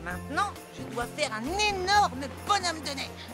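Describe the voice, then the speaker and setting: High-pitched practical little cartoon boy. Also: sing-song, measured pace, music in the background. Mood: busy, pleased. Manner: matter-of-fact, childlike. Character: practical, easily exasperated little boy building snow figures. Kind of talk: French children's cartoon dub.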